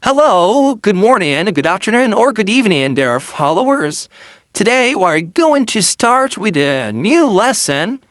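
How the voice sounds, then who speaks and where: A man's voice: high-pitched, very animated, measured pace, a bit bright, no room sound, studio quality. A man hosting an English lesson channel, greeting his followers and introducing the day's lesson in a friendly teacher tone.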